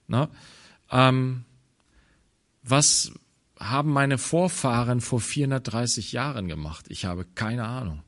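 A man from Germany giving a thoughtful sermon, pausing and restarting, sometimes dropping into quiet low asides. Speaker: preacher.

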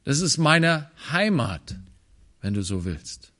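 A man from Germany giving a thoughtful sermon, pausing and restarting, sometimes dropping into quiet low asides. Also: very animated. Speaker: preacher.

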